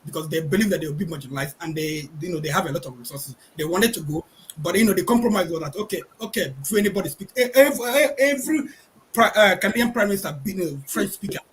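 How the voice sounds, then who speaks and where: A man joins a live panel discussion with a rambling example. He talks fast in a high voice. A faint layer of noise sits behind him, and "you know" fills his sentences. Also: expressive, low-quality recording. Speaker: panel guest.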